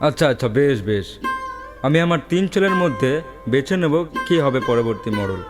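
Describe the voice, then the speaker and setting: An elderly village patriarch, acted by a man in a cartoon folk tale. His intonation rises and falls widely as he delivers measured, commanding pronouncements. Music plays clearly behind him. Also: mid-range voice, very animated, natural voice. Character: elderly village headman, father of three grown sons.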